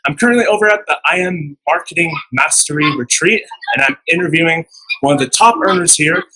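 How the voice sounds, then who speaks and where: A man's voice: a little high, a little fast, sharp tone, breathy edge, rough recording. A man hosting an interview, asking chatty, upbeat questions. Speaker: interviewer, channel host. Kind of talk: casual interview. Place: casino resort event.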